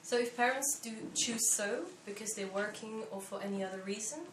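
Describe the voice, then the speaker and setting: A young woman from Belgium explains things calmly and carefully, pausing often to pick her words. She sounds like she is in a roomy, echoey space. A faint hiss sits under her voice. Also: mid-range voice, far from the mic. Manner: thoughtful, measured, with frequent pauses and fillers. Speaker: interviewee, volunteer. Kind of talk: explanatory interview answers. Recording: echoey room, faint background noise.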